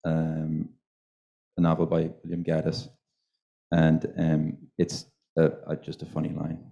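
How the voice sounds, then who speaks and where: A man's voice: very deep voice, completely flat delivery, a little slow, vocal fry, dark tone, muffled recording. A man, an author on a panel, answering hesitantly with many ums. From Ireland. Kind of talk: panel talk.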